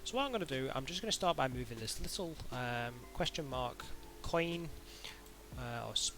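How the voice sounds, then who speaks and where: A man narrating an informal software tutorial in a hesitant, halting way, as if thinking aloud. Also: medium pitch, lively intonation, over music. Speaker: tutorial instructor.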